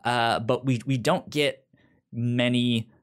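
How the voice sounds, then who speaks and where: A man's voice: medium pitch, steady tone, slow pace, smooth. A man hosting a podcast in a casual, chatty style, with a stutter that repeats sounds and syllables. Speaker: podcast host.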